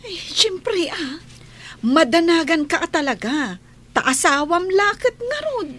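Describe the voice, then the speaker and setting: A woman's voice: very high-pitched, big pitch swings, slow, a bit bright, full character voice. A woman playing a pious, caring village neighbour in a radio drama, speaking earnestly and moralizing with an Ilocano accent. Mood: concerned, consoling. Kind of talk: Filipino comedy radio drama acting.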